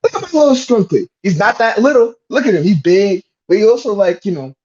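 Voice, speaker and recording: A lively man with a fairly high voice that swings widely as he banters. He is slangy, insistent and profane, and repeats himself. The sound is a little dull and lo-fi. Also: brisk. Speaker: podcast panelist. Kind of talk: casual excitable fan banter.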